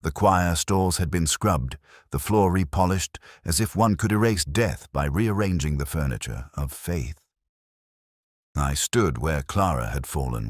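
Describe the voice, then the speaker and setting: A man reading a scripted first-person detective story in a measured, brooding, reflective way. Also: extremely low pitch, husky, dry recording, studio quality. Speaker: narrator.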